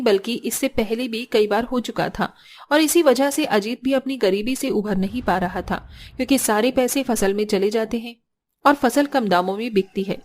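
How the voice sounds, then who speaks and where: A woman reading scripted narration for an animated family story, as the storyteller. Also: on the high side.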